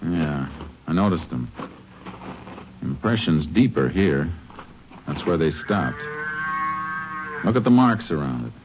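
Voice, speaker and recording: Casting: calm Ranger investigator, very low voice, clipped and matter-of-fact, old radio western with dull hissy sound. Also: male voice, slow, pauses often, full character voice. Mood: thoughtful, terse. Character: calm, methodical male Texas Ranger investigator. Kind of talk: 1950s radio western drama acting and narration. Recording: dull old radio recording with clear hiss.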